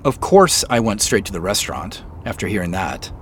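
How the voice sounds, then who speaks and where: An actor playing an inquisitive, slightly sheepish amateur sleuth in a naturalistic audio drama, talking in an easygoing, conversational way. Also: male voice, fairly low pitch, very animated, brisk. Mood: puzzled, earnest. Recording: faint vehicle noise behind.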